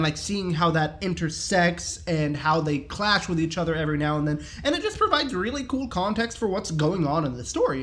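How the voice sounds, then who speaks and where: A man giving a casual, enthusiastic review in an animated, jokey, slangy way. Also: high voice.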